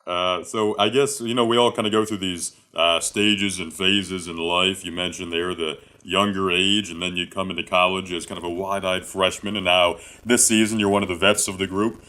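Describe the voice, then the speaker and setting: A man with a low voice hosts an interview, asking his questions in a measured, thoughtful way. His tone is bright and forceful. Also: fairly even intonation. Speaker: interview host.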